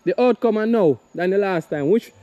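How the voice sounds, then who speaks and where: A man's voice: high voice, expressive, moderate pace, warm tone, dull audio. A Jamaican man talking casually in patois as he walks through a flooded neighbourhood and questions the locals. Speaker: vlogger touring a flooded area. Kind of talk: casual walk-and-talk vlog.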